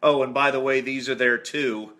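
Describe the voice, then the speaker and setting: A man's voice: mid-range voice, steady tone, normal speed, pushed and bright. A man, a musician guest on a radio show, chatting casually and giving his opinions informally.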